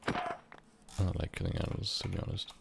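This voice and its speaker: Very deep gravelly young man. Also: level tone, very noisy. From Switzerland. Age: about 19. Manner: meandering, halting, casually swearing. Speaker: gaming vlogger. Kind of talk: unscripted rambling while playing a game.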